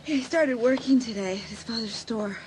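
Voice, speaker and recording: A woman's voice: a little high, fairly quick, slight background noise, close to an everyday voice, poor audio. A woman playing a wry, sporty, easily exasperated teenage girl in a dramatised audiobook. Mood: casual, defensive.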